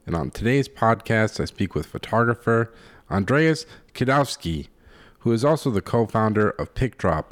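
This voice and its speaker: A man's voice: lowish voice, no echo, studio quality. A man hosting a podcast, speaking in an informal, rambling, conversational way. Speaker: podcast host.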